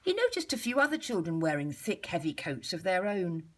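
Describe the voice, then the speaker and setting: A woman reads a children's story with very lively, swooping intonation. Her voice sits a little low and has a husky grain. She takes her time, pausing deliberately like a teacher reading to a class of little ones. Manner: measured, animated storytelling with deliberate pauses.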